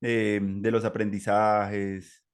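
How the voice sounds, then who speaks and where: A man with a Colombian accent hosting a reflective, conversational interview, with halting, meandering phrasing full of filler words. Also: lowish voice, level tone, smooth. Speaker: interview host.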